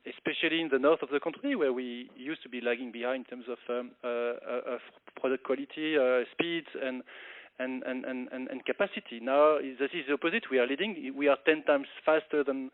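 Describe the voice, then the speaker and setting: Halting male executive on a muffled call. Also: mid-range voice, steady tone. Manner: halting, repeats words mid-sentence. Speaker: company executive answering analysts on an earnings call. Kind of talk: spontaneous business presentation and Q&A. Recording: muffled conference call audio.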